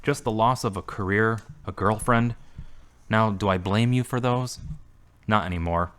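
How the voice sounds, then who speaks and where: A man playing a weary, newly devout believer in an audio drama monologue, deliberate and confessional. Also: fairly low pitch, fairly bright tone, clear, resonant tone, close to an everyday voice. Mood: humbled, resolute.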